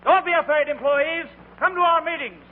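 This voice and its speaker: An American actor playing a defiant fired worker rallying employees loudly, in a 1940s radio drama. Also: male voice, extremely high pitch, moderate pace. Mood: fiery, rallying. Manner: loud, declamatory. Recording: tinny, very noisy 1940s radio recording.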